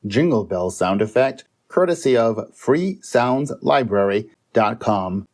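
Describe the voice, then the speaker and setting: A man's voice: medium pitch, lively intonation, slow pace, a little mellow. A middle-aged man speaking as a podcast co-host, in talk and reading. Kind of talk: friendly podcast chat and poem reading.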